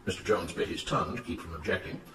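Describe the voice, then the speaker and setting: A man's voice: sits low, quick pace, creaky, a bit bright, echoey room, far from the mic. A man reading a scripted story aloud as its narrator.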